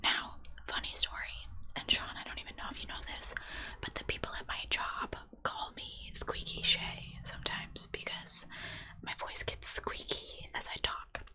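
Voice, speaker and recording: A woman who works in healthcare, chatting in a soft, rambling whisper full of fillers. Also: moderate pace, poor audio. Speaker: healthcare worker. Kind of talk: whispered ASMR chat.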